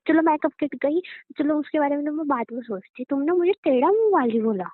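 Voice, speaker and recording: मुस्कुराती लड़की फ़ोन पर मनाती हुई ऊँची आवाज़. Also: female voice, high voice, animated, fairly quick, no echo. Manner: coaxing, sweet-talking, then indignant. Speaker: girlfriend on a phone call. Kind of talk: playful scripted phone banter. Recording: phone call recording.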